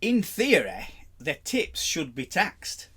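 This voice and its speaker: A man co-hosting a relaxed podcast chat, his voice sitting a little high for a man. He talks bluntly and stumbles over repeated words as he starts his points. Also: slow pace, husky. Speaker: podcast co-host, tattooist. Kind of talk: casual chat.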